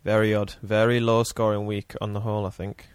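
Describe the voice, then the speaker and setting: A man's voice: lowish voice, little pitch movement, fairly quick, smooth, no room sound. A man co-hosting a casual football chat podcast, joking and bantering. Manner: chatty, jokey banter.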